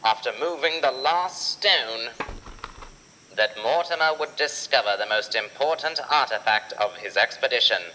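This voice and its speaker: Very bright, forceful male narrator. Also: medium pitch, normal speed, in a large hall, poor audio. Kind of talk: dramatic scripted adventure-serial narration. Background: music.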